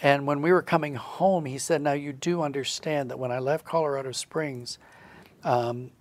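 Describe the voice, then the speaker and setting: A man's voice: on the high side, fairly even intonation, normal speed, dry recording. A man talking conversationally in an interview as a storyteller, explaining things in a reflective manner. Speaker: guest storyteller, audio drama writer.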